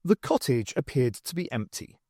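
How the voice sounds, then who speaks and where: Guy narrating an audiobook, really expressive, lots of pitch movement, dry close recording. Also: normal speed, husky, dry recording. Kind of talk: scripted fiction narration.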